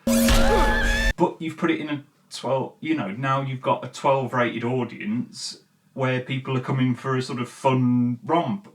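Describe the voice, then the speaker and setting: A male-sounding voice with a mid-range pitch, recorded at some distance from the microphone. The host talks informally and gives opinions in a halting, essay-like commentary, leaning hard on key words. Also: huge pitch swings, faint music behind. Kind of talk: video essay commentary, casual chat to viewers.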